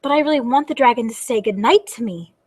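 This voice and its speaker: A woman's voice: a little high, expressive, quick pace, darkish tone, slightly rough, dry recording. A woman reading the part of an eager, pleading little boy in a children's storybook. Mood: wistful pleading. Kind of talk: storybook read-aloud.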